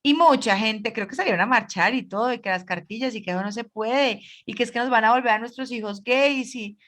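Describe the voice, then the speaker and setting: A Colombian woman co-hosting a podcast, chatting casually and jumping in with lively remarks. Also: on the high side, a little fast. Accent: Colombian Spanish. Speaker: podcast co-host. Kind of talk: casual chat.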